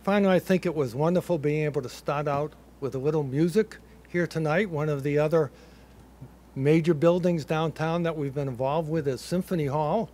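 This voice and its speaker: An older man with a Boston accent giving a measured, reflective ceremony speech from a podium, with a light touch of humor. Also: a little high, a little mellow. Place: ceremony in a building under construction.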